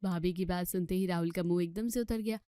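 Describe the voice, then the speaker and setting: A woman's voice: lowish voice, steady tone, quick pace, warm tone, clean tone, no echo, clean studio sound. A woman narrating a scripted family bedtime story as the storyteller.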